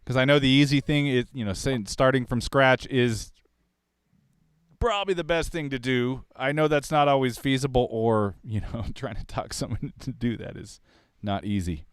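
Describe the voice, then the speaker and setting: A man's voice: medium pitch, moderate pace, clean tone, no echo. A man from Kansas hosting a casual chat about wiring a recording studio, rambling and restarting his questions with chuckles. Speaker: podcast host. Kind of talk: casual studio shop talk.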